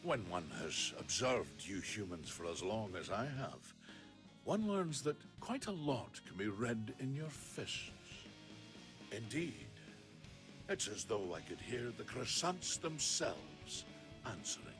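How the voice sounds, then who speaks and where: A man voicing a flamboyant, ageless vampire gentleman in a video game, grandiose, self-assured and unhurried. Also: lowish voice, sing-song. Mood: suave, assured. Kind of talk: video game character acting. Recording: studio voice under loud guitar music.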